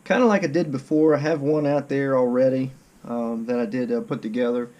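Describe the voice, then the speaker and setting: A man with a husky voice talking casually to camera as a video blogger, rambling a little and hesitating as he goes. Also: medium pitch, steady tone, a little fast, warm tone, dull audio. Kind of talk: casual vlog talk to camera.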